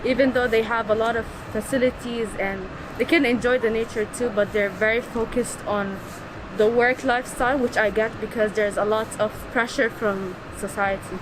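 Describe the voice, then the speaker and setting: A young woman answering interview questions in a thoughtful, measured way. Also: fairly high pitch, fairly even intonation. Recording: clear background noise.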